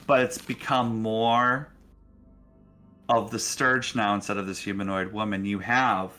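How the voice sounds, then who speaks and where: A man narrating a tabletop game as game master in a deliberate, suspenseful, dramatic style. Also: mid-range voice, bright, forceful tone.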